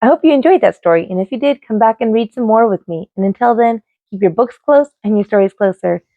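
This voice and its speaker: A woman reading a children's storybook aloud as host, expressively and with dramatic pauses. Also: a little high, lively intonation, no room sound, phone-quality sound. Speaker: storybook reader and show host.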